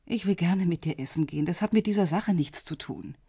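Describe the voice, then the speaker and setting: An actress playing a composed, businesslike intelligence officer in a radio drama, speaking in a measured, matter-of-fact, discreet way with a husky voice. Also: female voice, lowish voice, mellow, dry recording, clean studio sound.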